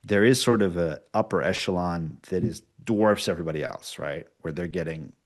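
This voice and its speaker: Deep-voiced man explaining things casually. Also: moderate pace. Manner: explanatory, peppers speech with checking tags like "right?". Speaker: podcast producer, interview guest. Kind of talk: casual interview answers.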